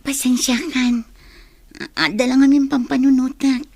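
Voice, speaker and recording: A woman's voice: high-pitched, fairly even intonation, moderate pace, a little mellow, no room sound. A woman acting a troubled, evasive young student in an audio drama. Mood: evasive, pensive. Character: young female student, troubled and evasive about fading love. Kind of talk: Filipino radio drama acting.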